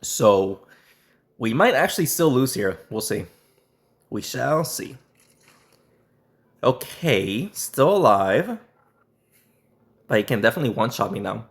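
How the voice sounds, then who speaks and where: Hoarse sick man commenting on gameplay. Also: mid-range voice, big pitch swings. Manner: throat clearing, halting restarts. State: sick, voice strained and nearly lost. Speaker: gaming YouTuber.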